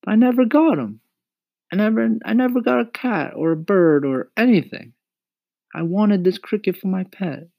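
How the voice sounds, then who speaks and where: A man's voice: high-pitched, animated, moderate pace, gravelly, mellow, dry recording, pitched above the performer's own voice. A man voicing an earnest, eager young boy in a storybook, with a pleading manner. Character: eager earnest young boy who loves his pet. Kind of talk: acted storybook reading.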